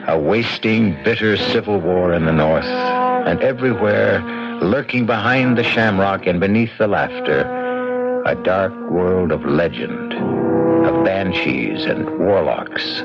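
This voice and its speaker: Male American mystery host: deliberate, ominous scripted narration, dull vintage radio sound, loud music underneath. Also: low voice. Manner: ominous, dramatic pauses.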